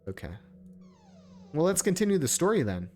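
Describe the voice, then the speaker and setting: A man's voice: on the high side, very animated, moderate pace, warm tone, faint music behind. A man streaming a game, commenting casually and reading text aloud in an informal, sometimes stumbling way.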